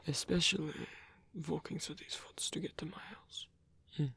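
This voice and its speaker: A man playing a lonely, tender old vampire with a German accent in an ASMR roleplay, speaking softly and haltingly with formal phrasing. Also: a little high, fairly even intonation, no room sound, exaggerated voice. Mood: hesitant, self-deprecating. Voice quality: breathy, husky. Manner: hesitant, halting, formal phrasing, soft-spoken.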